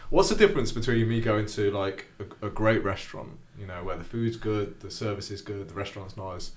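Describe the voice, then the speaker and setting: A man's voice: on the low side, fairly quick. A man in his thirties hosting a podcast, laid-back with casual slang, speaking into a desk mic in a small studio. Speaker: podcast host. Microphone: desk mic on a boom arm.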